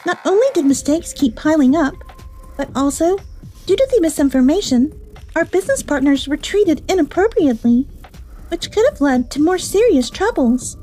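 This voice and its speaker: An actress playing a stern, exacting company official in a manga dub, formal and accusatory. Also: female voice, high voice, lively intonation, moderate pace, mellow. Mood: stern, critical.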